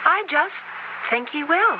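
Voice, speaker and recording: A nervous, impressionable young American student in vintage radio horror. Her voice is high. The recording is muffled and clearly noisy. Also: animated, unhurried. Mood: uneasy, subdued. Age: young adult. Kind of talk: 1970s radio drama acting.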